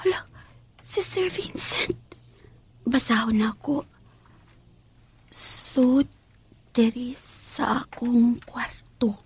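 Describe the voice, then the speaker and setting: An actress playing a lovesick housemaid in a radio drama, with a Visayan Filipino accent and a tearful, deferential manner. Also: female voice, a little high, lively intonation, drawn-out words, pauses often, slightly rough, in a whisper, exaggerated voice. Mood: hushed, furtive. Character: lovesick housemaid, a mother pining for her master. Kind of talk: radio drama acting with confessional narration.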